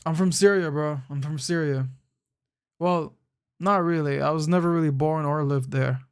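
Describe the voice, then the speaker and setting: A man's voice: fairly high pitch, steady tone, normal speed, clear, resonant tone. Laid-back young man chatting on stream. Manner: laid-back, slangy, calls chatters bro; thinks aloud with frequent restarts. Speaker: live streamer. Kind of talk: casual live-stream chat answering viewers.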